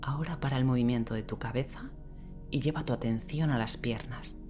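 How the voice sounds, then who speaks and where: Female meditation guide, very deep register, gentle and unhurried, soothing bedtime delivery over prominent relaxing background sound. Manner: gentle, unhurried, with long pauses. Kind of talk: guided sleep meditation, slow soothing instruction. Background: relaxing rain sound and soft music.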